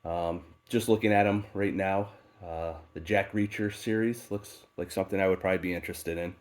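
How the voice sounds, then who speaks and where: A man with a very low voice, stuffy and congested from a head cold. He talks in a relaxed, unhurried way, filling gaps with "uh" and "um" as he goes through his books. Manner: relaxed and unhurried, with many fillers. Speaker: book channel host. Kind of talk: casual unboxing talk. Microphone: off-camera voice, faceless video.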